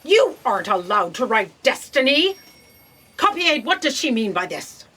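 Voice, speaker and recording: A woman acts out an imperious female boss in a comic audio drama. Her high, bright voice swings widely as she commands and needles her underlings with theatrical sarcasm. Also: big pitch swings, moderate pace, slightly rough, heavily acted. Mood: incredulous, scolding. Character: imperious exasperated female boss of a bureaucracy of fate.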